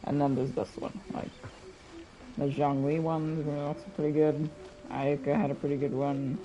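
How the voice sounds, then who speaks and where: A man's voice: on the high side, level tone, moderate pace, poor audio. A man giving casual, off-the-cuff live commentary as he streams a video game. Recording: game music behind the voice. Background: video game music.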